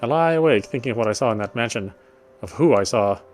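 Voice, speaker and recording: A man's voice: mid-range voice, animated, fast talker. A man doing casual let's play commentary and reading a game's story text aloud. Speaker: game streamer. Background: game music.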